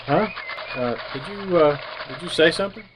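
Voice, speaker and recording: A man's voice: fairly high pitch, normal speed, background noise, close to an everyday voice. A man acting in an old-time style radio drama as a bashful, folksy young married man who stammers and hesitates. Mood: puzzled.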